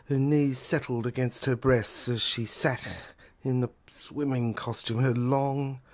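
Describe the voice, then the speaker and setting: A woman performing dramatic first-person narration, haltingly, often repeating words and trailing off. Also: very deep voice. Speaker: narrator of an audio screenplay.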